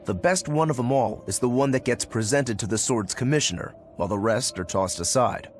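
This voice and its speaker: A man voicing a determined swordsman demon in a video game, explaining things in a measured way. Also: medium pitch, lightly acted. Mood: matter-of-fact. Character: determined swordsman demon, stoic younger brother to a master. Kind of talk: English video game voice acting. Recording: game music under the voice.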